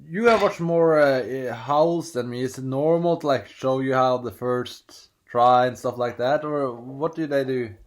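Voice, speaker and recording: A man's voice: mid-range voice, a little slow, few pauses, slight background noise. A man from Norway with a Norwegian accent, chatting casually at home while unboxing, rambling and hesitant with many restarts, reading screen prompts aloud. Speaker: vlogger.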